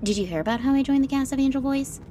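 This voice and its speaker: A woman's voice: sits high, rapid-fire, warm tone, a little hiss or hum, close to an everyday voice. An actress playing a vain, self-absorbed female stage star in a modern audio drama, with a sarcastic, gossipy, theatrical manner.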